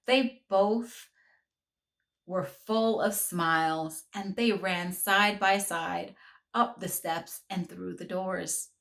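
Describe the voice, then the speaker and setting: A woman, a children's book author, reading her story aloud to children in a slow, expressive, encouraging way. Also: fairly low pitch, gravelly.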